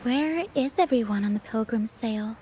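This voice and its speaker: A woman voicing a composed, watchful young first mate in a video game, measured and matter-of-fact with a dry edge. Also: on the high side, dark tone, slight background noise, natural voice. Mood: serious. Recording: background music under the voice.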